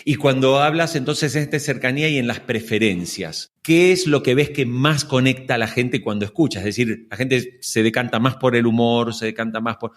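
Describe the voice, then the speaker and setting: A man's voice: mid-range voice, normal speed, hardly any pauses. A man hosting a podcast in a conversational way, addressing listeners directly as he presents and interviews.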